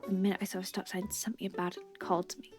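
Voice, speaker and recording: A woman's voice: mid-range voice, completely flat delivery, very fast, darkish tone, natural voice. A woman voicing a cheerful, scatterbrained male knight from a video game, bold and earnest. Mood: eager, boastful. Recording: game music behind the voice.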